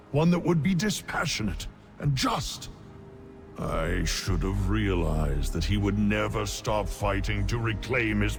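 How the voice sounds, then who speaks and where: An actor playing a solemn, ancient godlike leader in an epic fantasy game, speaking with deliberate command. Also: male voice, fairly low pitch. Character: grave, ancient godlike male leader of the dead. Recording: clear music bed behind voice. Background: game score music.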